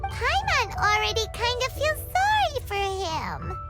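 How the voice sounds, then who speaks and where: A woman voicing a tiny, excitable fairy companion in a game, talking in an animated, exclamatory way. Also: unhurried, full character voice. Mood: storytelling. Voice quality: squeaky, bubbly, refers to herself by name. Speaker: game character. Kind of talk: game cutscene voice acting. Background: game score music.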